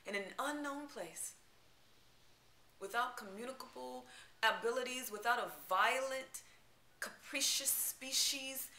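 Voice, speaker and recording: A woman giving a dramatic spoken-word poetry recital, theatrical and emphatic, with deliberate pauses. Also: high-pitched, pushed and bright. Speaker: poetry reader. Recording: roomy, echoing live-room sound.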